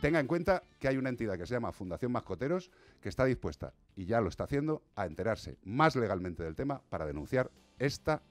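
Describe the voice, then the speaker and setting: A middle-aged man with a Castilian Spanish accent, hosting a radio show with a blunt, indignant and sarcastic opinion monologue. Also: lowish voice. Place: small radio studio, indoors. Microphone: close studio microphone on arm.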